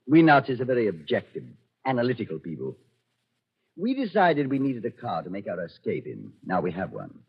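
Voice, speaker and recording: Nazi colonel, husky and animated, commanding, sardonically courteous, vintage radio drama, dull lo-fi sound. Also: male voice, medium pitch, fairly quick, heavily acted. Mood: smooth menace. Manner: commanding, suavely polite, sardonic. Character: coolly menacing Nazi colonel escaping through the desert. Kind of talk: 1940s radio acting.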